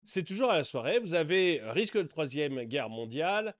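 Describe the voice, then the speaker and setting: A man hosting a commentary channel, reacting to political TV clips with indignation that climbs to shouting and then drops into mumbled fillers. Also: fairly high pitch, big pitch swings, normal speed.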